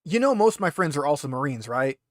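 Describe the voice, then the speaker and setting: A man's voice: a little high, big pitch swings, fast talker. A man voicing a short balding insurgent prisoner who is defensive and evasive, stammering indignantly.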